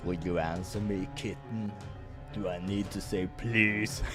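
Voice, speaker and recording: A man voicing a huge, intimidating, hot-tempered wolf adventurer in a low, throaty growl, blunt and taunting, for a visual novel. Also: fairly even intonation, a little slow, natural voice. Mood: menacing. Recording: music behind the voice.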